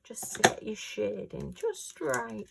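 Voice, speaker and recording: A woman chatting casually at home while she works on a craft, rambling and homely with lots of fillers, her voice a little hoarse. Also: a little high, very animated, slow pace, a bit bright, slight background noise. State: slightly hoarse. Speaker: crafting vlogger.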